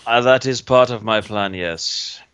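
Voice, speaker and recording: A man playing a tabletop roleplaying game, speaking in a measured, formal in-character way. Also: fairly low pitch, a bit bright, clear, resonant tone. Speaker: player. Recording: dull lo-fi recording.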